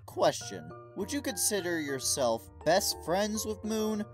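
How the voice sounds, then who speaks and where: A woman's voice: mid-range voice, sing-song, unhurried. A woman playing a curious, somewhat hesitant questioner of cartoon robot characters in a fan comic dub. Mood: probing. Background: music.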